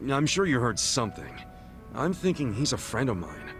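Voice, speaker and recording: An actor playing an earnest, excitable, loyal friend in a video game, with an eager, informal way of talking. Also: male voice, medium pitch, normal speed, over music. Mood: earnest, probing. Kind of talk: dramatic game cutscene acting.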